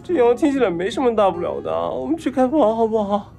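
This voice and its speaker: A man's voice: very high voice, very animated, fast, creaky, mellow, faint music behind, exaggerated voice. A man acting a drugged young man in an audio drama, whiny, weak and breathlessly pleading with the one he has a crush on. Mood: frightened pleading. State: weak and feverish from drugs.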